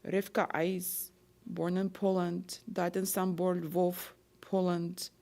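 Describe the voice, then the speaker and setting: A woman slowly reading a list of victims' names, places and dates in a measured, solemn recitation, sometimes stumbling over a name. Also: lowish voice, flat delivery, vocal fry, a little mellow. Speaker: name reader at a remembrance ceremony.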